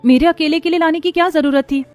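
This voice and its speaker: A woman's voice: very high-pitched, steady tone, quick pace, soft background music, voice pushed up. A woman voicing an innocent, sweet and grateful young daughter-in-law in a cartoon story. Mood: touched, grateful.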